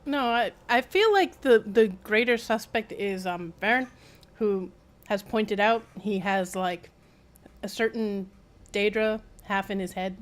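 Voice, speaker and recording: A woman's voice: mid-range voice, measured pace. A woman with a mid-range voice comments casually on the game she is streaming. She rambles in a chatty way and adds wry remarks as she goes. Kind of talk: casual live gameplay commentary.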